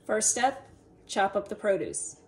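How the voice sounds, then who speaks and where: A young woman casually narrating a home cooking video in a relaxed, chatty way, with fillers. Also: medium pitch, measured pace, loud music behind the voice. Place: home kitchen. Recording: distant mic, noticeable room noise.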